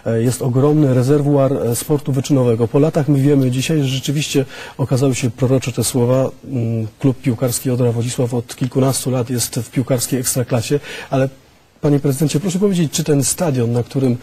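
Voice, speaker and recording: A man interviews a guest in a TV studio, his voice husky and mellow. He pauses often, fills gaps with hesitations and restarts his questions. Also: medium pitch, fairly even intonation. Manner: hesitant, many fillers and restarts. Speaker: TV host.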